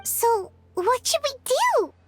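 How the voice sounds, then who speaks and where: Tiny fairy sidekick for a game: extremely high, squeaky, animated, childishly earnest. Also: female voice, takes it slowly, faint music behind, full character voice. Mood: worried. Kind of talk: scripted video game dialogue.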